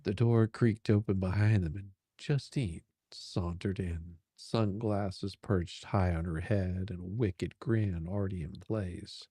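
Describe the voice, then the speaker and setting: A man's voice: deep voice, steady tone, takes it slowly, few pauses, dark tone, breathy edge, dry recording. A man narrating a steamy romance story as a dramatic reading.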